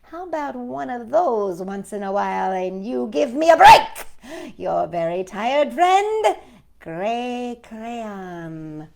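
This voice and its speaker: A woman's voice: fairly high pitch, very animated, slow, gravelly, darkish tone, full character voice. A woman voicing a worn-out, put-upon crayon in a storybook read-aloud, whining and pleading. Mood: weary, pleading. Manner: weary, whiny complaining.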